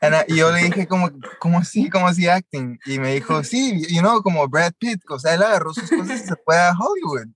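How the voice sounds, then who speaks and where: A man's voice: sits high, expressive, a little fast, hardly any pauses, noisy background. A young man from Guatemala, telling his story as an interview guest and mixing with English. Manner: switches between Spanish and English mid-sentence, many fillers.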